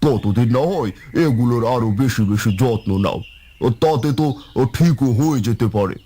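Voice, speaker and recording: A man voicing a calm, friendly village neighbour in a cartoon, advising and reassuring. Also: mid-range voice, moderate pace, a little hiss or hum, natural voice. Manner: encouraging, advising. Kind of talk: cartoon voice acting.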